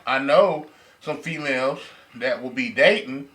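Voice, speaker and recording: A man's voice: medium pitch, a little slow, fairly bright tone. An American man co-hosting a podcast, talking casually in informal slang. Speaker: podcast co-host.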